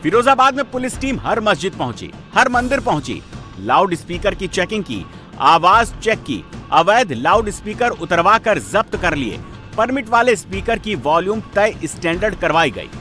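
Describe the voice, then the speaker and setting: A man's voice: sits high, big pitch swings, normal speed, bright, forceful tone, soft background music. A man reading a dramatic scripted TV news voice-over in a punchy, emphatic way. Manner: punchy, emphatic, repetitive phrasing. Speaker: news reporter narrator.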